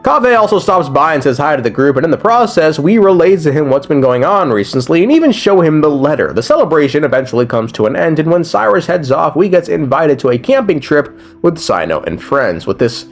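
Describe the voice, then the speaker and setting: Animated young man with higher-pitched voice. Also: brisk, gravelly, few pauses, faint music behind. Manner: slangy, profane, joking, often chuckling. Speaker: gaming video commentator. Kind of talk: casual recap commentary with reactions.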